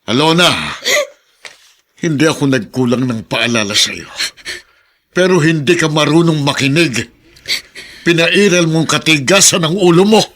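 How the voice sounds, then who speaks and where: A man's voice: on the high side, unhurried, pauses often, a bit bright. A man acting a stern, reproachful old grandfather, firm and scolding, in a radio drama.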